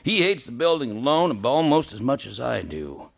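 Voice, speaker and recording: A man playing a gruff scheming old banker. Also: medium pitch, very animated, moderate pace. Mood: smooth persuading. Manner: gruff, domineering, overbearing. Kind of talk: radio play acting.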